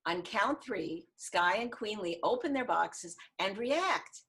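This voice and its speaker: A woman's voice: medium pitch, lively intonation, slow pace, fairly bright tone. A woman reading screenplay stage directions as scripted narration over a video call.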